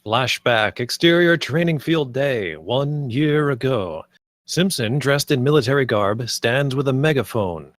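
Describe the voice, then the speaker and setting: A man's voice: medium pitch, animated, measured pace, dry recording. A man narrating the stage directions of a script at a table read.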